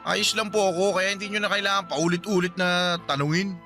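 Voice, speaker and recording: An earnest, respectful young son. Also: male voice, high-pitched, fairly even intonation, brisk, pushed and bright, soft background music. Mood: defensive, irritated. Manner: respectful, addressing his mother politely. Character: devoted young adult son, smitten, sometimes defensive. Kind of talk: Filipino radio drama acting.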